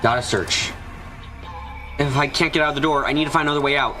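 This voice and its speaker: A man's voice: a little high, fast, pushed and bright, soft background music. A man voicing an anxious, frightened narrator trapped and desperate, in a first-person visual novel fan dub. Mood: uneasy, brooding. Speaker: voice actor narrating.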